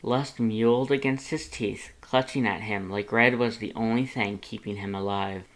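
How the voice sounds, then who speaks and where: A narrator reading fan fiction aloud in an expressive, scripted storytelling style. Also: medium pitch, moderate pace. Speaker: fanfiction audiobook narrator and channel host.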